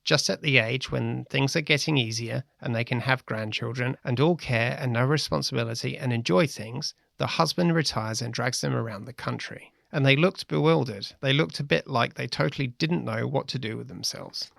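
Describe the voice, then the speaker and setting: A man with a gravelly voice, reading first-person anecdotes as a playwright. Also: mid-range voice, moderate pace, hardly any pauses, fairly bright tone, no room sound, clean studio sound.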